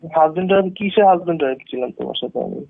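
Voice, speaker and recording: Fast-talking man on tinny phone. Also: on the high side, gravelly. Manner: defensive, dismissive, retorts with questions. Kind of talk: argumentative phone conversation. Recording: tinny narrowband phone call recording.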